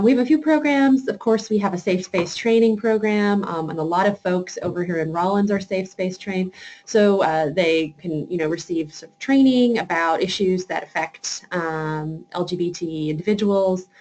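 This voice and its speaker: A woman's voice: lowish voice, moderate pace, a little mellow. A young adult woman, program coordinator, giving an informational webinar talk with frequent hesitations and fillers.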